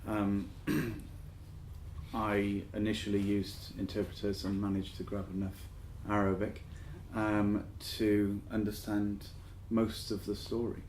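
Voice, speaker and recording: A man on a panel, speaking in a measured way with hesitant ums. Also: lowish voice, level tone, darkish tone. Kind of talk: panel discussion. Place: university lecture room. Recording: echoey room, faint noise.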